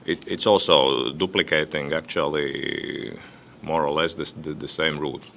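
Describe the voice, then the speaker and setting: A man on a discussion panel giving his remarks, halting and often repeating words. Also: rumbling low, steady tone, gravelly, fairly bright tone, slight background noise. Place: conference hall.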